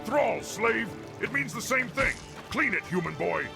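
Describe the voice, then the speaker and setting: A man playing a rude, bossy orc bully in a fantasy game, jeering and barking orders in a gravelly voice. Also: high-pitched, expressive, measured pace, over music, full character voice. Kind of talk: fantasy game cutscene dialogue.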